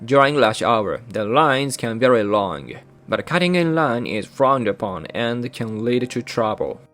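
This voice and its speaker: Japanese-accented guy reading his explainer script, mid pitch, soft guitar music under him. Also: lively intonation, measured pace. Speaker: channel host.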